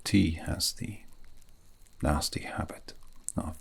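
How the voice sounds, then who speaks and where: A man playing a gentle, courteous elven mage in a whispered ASMR fantasy roleplay, with breathy, husky delivery and formal, archaic, soothing phrasing. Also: a bass voice, slow pace, full character voice. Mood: calm, musing.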